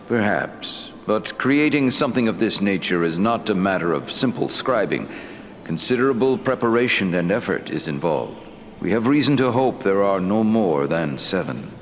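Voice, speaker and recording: A man playing a wise, ancient elf lord in a fantasy game, speaking gravely in formal, deliberate phrasing. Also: fairly low pitch, expressive, slight background noise. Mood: measured, reassuring. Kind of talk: fantasy game dialogue acting. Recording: long hall reverb, faint music.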